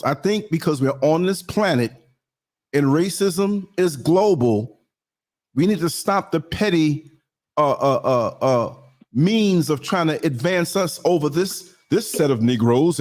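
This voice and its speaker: A man's voice: a little high, darkish tone. A man hosting a live talk show, speaking earnestly and repeating words as he pushes his point. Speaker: host. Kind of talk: casual chat.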